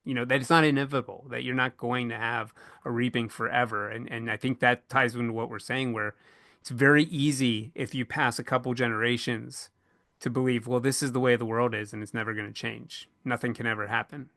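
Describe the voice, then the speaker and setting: A man with a very bright, forward voice at a middle pitch moderates a casual podcast panel. He thinks out loud, doubling back on words and restarting phrases. The sound is dry and close, with little room around it. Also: steady tone, creaky, no room sound. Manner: halting, repeats words as he thinks aloud.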